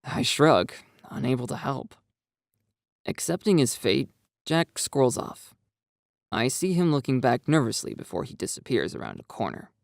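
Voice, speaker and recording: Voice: mid-range voice, big pitch swings, normal speed, vocal fry, dry recording. A narrator reading a dramatised first-person story in a scripted style. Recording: dry, music behind. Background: background music.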